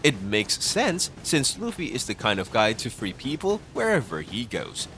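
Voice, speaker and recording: Man narrating with lively, swooping pitch. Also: moderate pace, a little hiss or hum. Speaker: narrator of an anime explainer video. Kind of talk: scripted explainer narration.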